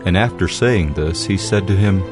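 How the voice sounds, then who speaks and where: A man narrating scripture in a steady, solemn scripted reading. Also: low-pitched, moderate pace. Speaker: Bible narrator. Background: music.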